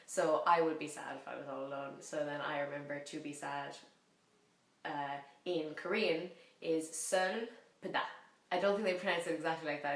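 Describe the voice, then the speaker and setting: Woman vlogger, low voice, rambling and playful, roomy echo on the recording. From half French, French mother. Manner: rambling, self-correcting, chatty and playful. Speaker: vlogger learning a language. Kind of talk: casual chatty vlog.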